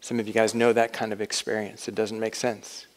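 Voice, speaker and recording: A man's voice: fairly low pitch, little pitch movement, brisk. A man in his thirties giving a chapel talk to college students, conversational and self-deprecating. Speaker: chapel speaker. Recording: long reverb of a hall.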